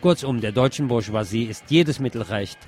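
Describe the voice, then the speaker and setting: A man's voice: medium pitch, moderate pace, darkish tone, faint music behind. A man hosting a program, reading press articles aloud and adding brief comments of his own.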